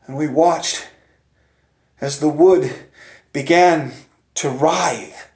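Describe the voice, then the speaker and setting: A male storyteller performs a first-person tale with theatrical intensity. His voice sits high for a man and swings between hushed suspense and urgent, raised delivery. The sound is roomy, with clear echo.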